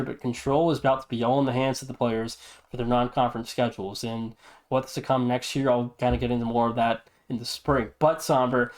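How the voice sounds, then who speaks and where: A man's voice: mid-range voice, darkish tone. A man hosting a podcast, giving league news and casual commentary in an emphatic way with repeated stock phrases. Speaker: podcast host and league presenter.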